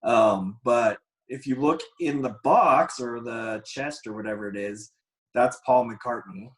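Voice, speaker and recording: A man hosting a casual podcast, presenting to the group while losing his voice and clearing his throat. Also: mid-range voice, a little slow. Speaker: podcast host. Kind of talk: casual panel talk, presenting slides. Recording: echoey room.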